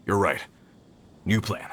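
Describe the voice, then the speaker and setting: A man voicing an earnest, decisive leader of miners in a video game, practical and expressive. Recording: music under the voice.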